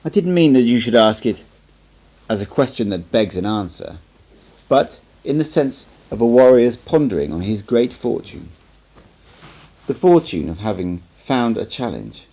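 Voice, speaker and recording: A man voicing a stern, cryptic old sorcerer teacher in an audiobook, lecturing in a measured, emphatic way. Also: mid-range voice, very animated, mellow, rough recording. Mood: solemn, emphatic. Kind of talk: amateur audiobook character reading.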